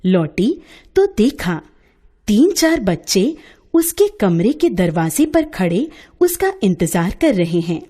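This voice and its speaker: A woman's voice: medium pitch, sing-song, slow, dark tone, slightly rough. A woman storyteller giving a scripted narration of a moral story.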